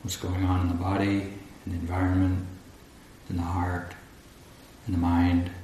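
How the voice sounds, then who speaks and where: A man guiding a meditation as a teacher, speaking calmly and deliberately in short phrases with long pauses. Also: sits low. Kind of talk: guided meditation instruction. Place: large echoey indoor room at a retreat. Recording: roomy echo, faint background noise.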